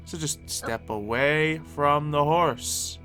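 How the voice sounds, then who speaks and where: A man's voice: on the high side, takes it slowly, pushed and bright. A man playing an easygoing, peacemaking zombie apocalypse survivor in a casual improvised video game roleplay. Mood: easygoing, cautious. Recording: background music under voice.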